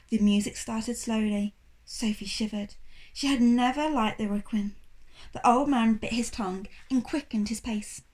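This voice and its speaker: Higher-pitched woman reading a children's novel. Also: darkish tone. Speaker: storybook reader. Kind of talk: expressive read-aloud narration of a children's novel. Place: small indoor room, reclining on cushions.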